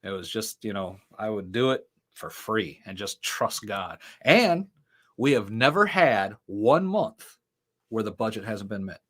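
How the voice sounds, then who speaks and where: A man's voice: fairly low pitch, big pitch swings, a little slow, a bit bright. A middle-aged man, a ministry founder and interview guest, telling long stories in casual talk with many fillers and the odd laugh. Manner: rambling storyteller with frequent fillers and laughs.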